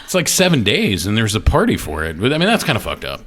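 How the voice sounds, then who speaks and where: A man with a lowish voice that swings widely up and down, trading crude jokes in relaxed podcast banter. He laughs often as he talks. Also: fast, a little hiss or hum. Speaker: podcast co-host. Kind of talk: casual joking chat. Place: indoor room.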